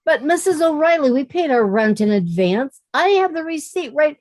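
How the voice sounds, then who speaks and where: A woman's voice: high voice, expressive, moderate pace, a little mellow, no echo. A woman playing a sensible, wisecracking young woman with a dry, sardonic delivery in a radio comedy.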